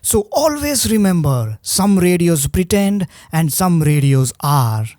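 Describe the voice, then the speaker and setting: Slow, bright-voiced scripted narrator. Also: mid-range voice, very animated, no room sound, studio quality. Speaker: presenter of a radio review video.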